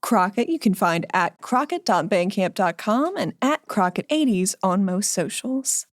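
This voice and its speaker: A woman's voice: mid-range voice, animated, a little slow, dry recording. A woman hosting a podcast, giving credits and thanks to listeners. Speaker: podcast host.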